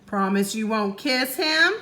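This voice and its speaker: A woman's voice: on the high side, animated, measured pace, bright, forceful tone. A woman voicing a cautious, bookish young boy in a storybook, with an urgent, exasperated, bossy manner.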